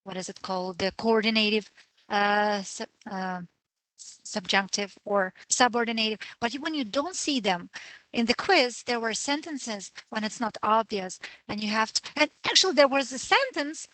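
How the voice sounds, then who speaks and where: Hesitant woman with a dry, bright voice. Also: a little high, moderate pace, no echo, rough recording. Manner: hesitant, searching for words. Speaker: course student. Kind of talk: asking questions in an online class. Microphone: video call.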